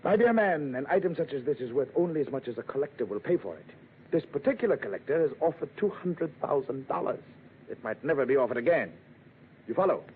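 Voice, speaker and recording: An actor playing a pompous, cultured dealer in priceless antiquities in an old-time radio detective drama, with florid, formal diction. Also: male voice, fairly high pitch, expressive, fast talker, a little hiss or hum, close to an everyday voice. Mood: insistent, smug. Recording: tinny vintage radio, faint music.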